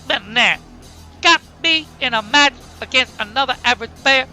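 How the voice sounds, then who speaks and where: A man's voice: very high-pitched, normal speed, pushed and bright, faint music behind, exaggerated voice. A man voicing a cocky purple grizzly pimp wrestler who swaggers and taunts in cartoon trash talk. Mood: cocky taunting.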